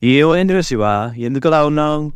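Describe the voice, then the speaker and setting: A man's voice: a little high, lively intonation, moderate pace, clean tone, no echo, close to an everyday voice. A man playing a farmer's supportive, agreeable male friend in a cartoon story. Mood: concerned.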